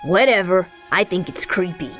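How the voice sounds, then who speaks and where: A woman's voice: on the low side, animated, normal speed, soft background music, natural voice. A woman voicing a cocky ten-year-old boy hero in a video game cartoon, brash and sarcastic. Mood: grumbling, uneasy.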